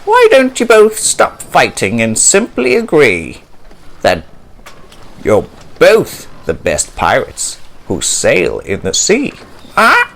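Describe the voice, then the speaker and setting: A man's voice: fairly high pitch, wildly swooping pitch, slow pace, creaky, a bit bright, a little hiss or hum, exaggerated voice. A man voicing a fussy, determined female parrot in a storybook, squawky and exclaiming, with bird squawks. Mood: scolding.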